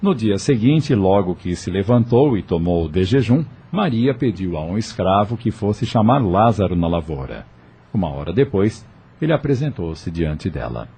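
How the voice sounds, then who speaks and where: A man's voice: low voice, sing-song, normal speed, warm tone, husky. A man with a Brazilian accent narrating an audio novel from a script. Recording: faint music under the voice. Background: music.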